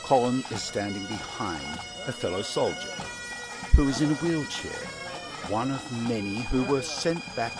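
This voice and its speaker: A man's voice: medium pitch, expressive, a little slow, over loud music. A man reading scripted narration for a radio play, setting each scene.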